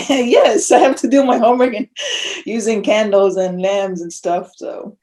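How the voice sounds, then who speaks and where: A young woman from Tonga, a guest on a podcast, speaking softly and with feeling. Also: medium pitch, measured pace. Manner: soft-spoken, emotional, laughs often. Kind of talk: casual interview.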